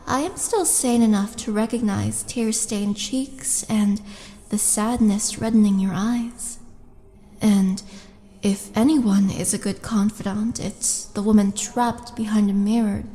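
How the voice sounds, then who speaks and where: A woman playing a tall, lonely orc oracle in an intimate roleplay audio drama, speaking softly and coaxingly with breathy, whispered touches. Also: mid-range voice, natural voice. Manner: slow, coaxing, intimate, halting. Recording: long reverb, music behind.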